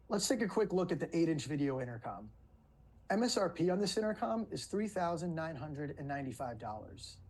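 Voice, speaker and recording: A man with a slightly husky voice gives a scripted product presentation in a webinar. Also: fairly high pitch, darkish tone. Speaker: company presenter.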